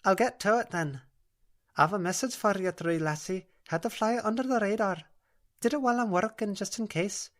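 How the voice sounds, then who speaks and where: A woman's voice: mid-range voice, fairly quick, voice pushed up, natural voice. A woman voicing a soothing, earnest female dream-walker with a broad Scottish accent in an audiobook.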